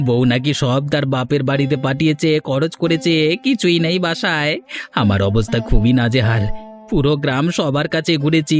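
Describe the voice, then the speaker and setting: A man's voice: fairly high pitch, natural voice. A man voicing a humbled, destitute villager who pleads plaintively in a cartoon. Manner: plaintive, repeats himself. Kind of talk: Bengali fairy-tale cartoon voice acting. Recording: faint music behind the voice.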